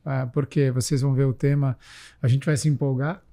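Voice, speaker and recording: A man in his forties from the São Paulo countryside, a physician and health manager, speaking reflectively in an interview with frequent pauses and fillers. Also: mid-range voice, flat delivery, creaky, mellow. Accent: São Paulo countryside Brazilian Portuguese. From interior of São Paulo state, Brazil. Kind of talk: casual interview talk.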